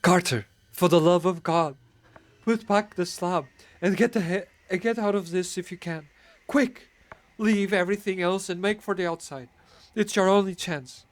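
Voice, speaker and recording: Man putting on a terrified voice, shaky, frantic, high-pitched, loud, calling up from a crypt. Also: moderate pace, natural voice.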